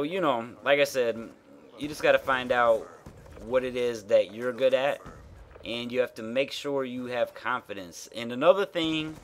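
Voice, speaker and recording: Nasal gamer guy chatting over his match, loose and rambly, mid pitch. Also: fairly bright tone. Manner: rambling, frank, with fillers and swearing. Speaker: gaming YouTuber. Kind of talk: casual commentary over gameplay.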